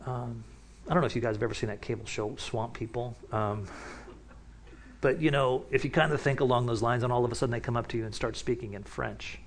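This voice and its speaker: A man teaching from the Bible in a relaxed, conversational way, often restarting sentences and picking up energy as he warms to a point. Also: medium pitch, brisk, a little hiss or hum. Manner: casual, with many restarts and asides. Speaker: pastor.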